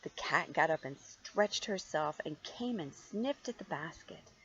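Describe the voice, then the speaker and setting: A woman's voice: low voice, expressive, a little slow, fairly bright tone, lo-fi sound, slight background noise. An older woman reads a storybook aloud like a grandmother, expressively and playfully, drawing out key words and adding chatty asides. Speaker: grandmother reading stories to children.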